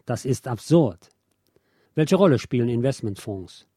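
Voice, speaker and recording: Dark-voiced man reading aloud. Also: medium pitch, animated, unhurried, dry recording, studio quality. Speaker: radio show host. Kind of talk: reading an article aloud, scripted narration.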